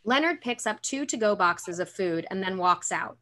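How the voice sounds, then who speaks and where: Young woman reading stage directions on a video call, bright clear-cut voice. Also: medium pitch, animated, moderate pace. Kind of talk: scripted narration. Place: room at home.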